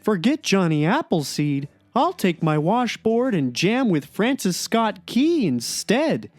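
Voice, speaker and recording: A man playing a gloomy, sardonic cartoon blogger in a dramatic reading, deadpan and aggrieved. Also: high-pitched, slow, no echo, soft background music, exaggerated voice. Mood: aggrieved, wry. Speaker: performer in a podcast dramatic reading. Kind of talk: dramatic reading of a comic blog.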